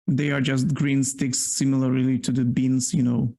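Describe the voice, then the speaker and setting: Gravelly man. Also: medium pitch, flat delivery, normal speed, warm tone. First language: Slovak. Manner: explains at length with many restarts. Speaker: podcast co-host. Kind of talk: casual chat.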